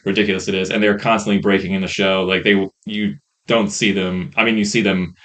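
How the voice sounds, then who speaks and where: A man co-hosting a podcast, chatting casually in a rambling, hesitant way about what he has watched, on a rough microphone. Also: sits low, flat delivery, fairly quick, fairly bright tone. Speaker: podcast co-host reviewing films and shows. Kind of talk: casual chat. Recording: rough podcast mic audio.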